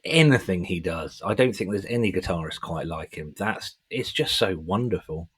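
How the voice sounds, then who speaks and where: A man's voice: low-pitched, animated, normal speed, a bit bright, breathy edge. A middle-aged man co-hosting a music podcast, chatting casually with an enthusiastic, sweary fan's manner. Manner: enthusiastic, sweary fan talk.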